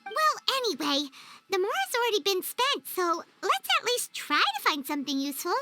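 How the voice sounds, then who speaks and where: A woman voicing a small, excitable, childlike fairy companion in a video game, chatty and naive, with a squeaky voice. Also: normal speed. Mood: puzzled, wary. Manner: refers to self in third person, exclaiming, wheedling. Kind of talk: video game cutscene acting. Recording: music under the voice.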